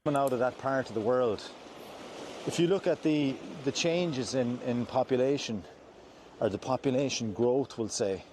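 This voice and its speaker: An Irish man vlogging outdoors while he walks, giving his views in an earnest, measured way, often restarting his sentences. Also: medium pitch, noisy background. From Ireland. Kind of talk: walking vlog, opinion talk. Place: outdoors on slippery ground near a stream.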